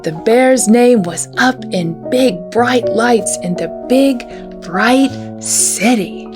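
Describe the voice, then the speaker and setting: A woman's voice: a little high, slow pace, music in the background. A woman hosting a children's storytime, reading a picture book aloud in a storytelling style.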